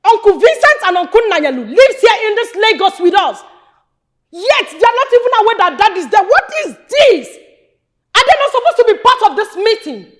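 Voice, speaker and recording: High-pitched, indignant Nigerian daughter. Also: female voice, big pitch swings, normal speed. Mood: outraged. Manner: emphatic, scolding, repeats rhetorical questions. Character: outspoken, indignant grown daughter scolding her family. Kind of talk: Nollywood-style family drama acting.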